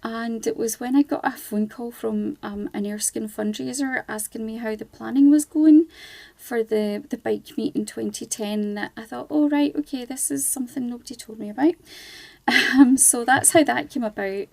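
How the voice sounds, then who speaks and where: A woman's voice: a little high, moderate pace, vocal fry, a little mellow, no room sound. A middle-aged woman from Scotland, guest on a charity radio show, chatting casually with lots of ums. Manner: chatty, many ums, laughs easily.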